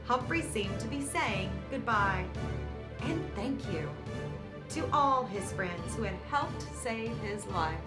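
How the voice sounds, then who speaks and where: A woman reading a picture book aloud to children as host, with dramatic emphasis and warm, encouraging asides. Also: mid-range voice, expressive, slow. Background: background music.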